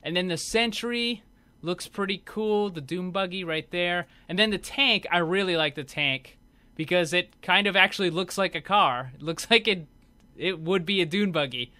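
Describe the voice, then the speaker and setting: A man's voice: high voice, moderate pace, pushed and bright. A man hosting a gaming video, giving casual, conversational commentary as he explains what is on show.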